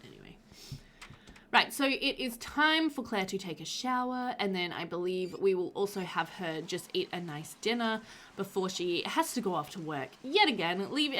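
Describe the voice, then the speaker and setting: A woman's voice: fairly low pitch, a bit bright, slight background noise. A woman in her thirties with a slightly stuffy nose, chatting casually through a headset as she narrates her life-sim game. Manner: chatty, rambling, laughs often. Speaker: gaming channel host. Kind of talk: casual gameplay voiceover.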